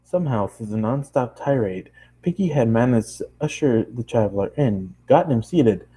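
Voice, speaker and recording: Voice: mid-range voice, lively intonation, moderate pace, warm tone, breathy edge, rough recording. A narrator reading fan fiction aloud in a storybook style, with casual asides.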